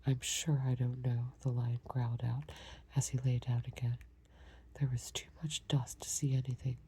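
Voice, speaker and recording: A woman reading a storybook aloud in a soft, breathy whisper. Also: extremely low pitch, little pitch movement, moderate pace. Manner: soft unvoiced whisper throughout. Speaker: storybook reader.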